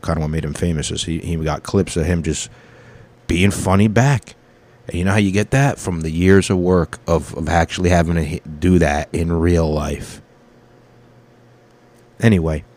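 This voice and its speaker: A man's voice: deep voice, a little mellow. A man in his thirties, a comedian hosting his own podcast, rambling through his week in a casual, profane, self-correcting monologue.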